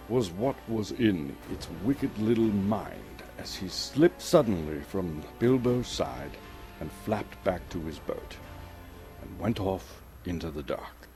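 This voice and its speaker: A man's voice: deep voice, mellow. A man from Canada gives a dramatic narration of a storybook, theatrical and suspenseful, lingering on key words. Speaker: actor reading as narrator.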